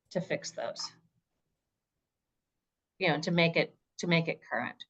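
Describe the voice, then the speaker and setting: A woman's voice: mid-range voice, a bit bright, lo-fi sound. A woman presenter answering questions in an informal webinar, explaining things step by step and thinking aloud.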